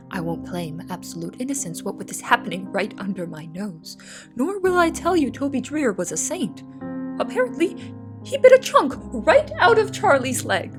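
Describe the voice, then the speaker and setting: A woman's voice: medium pitch, natural voice. A woman playing a young, anxious, secretive cook who narrates an audio drama in the first person. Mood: shaken, grieving, then anguished.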